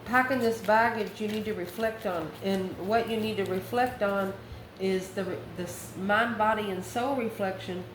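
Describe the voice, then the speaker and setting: A woman's voice: medium pitch, steady tone, moderate pace. A woman giving a casual, improvised tarot reading as an online host, musing aloud as she goes through the cards. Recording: roomy echo with faint background noise.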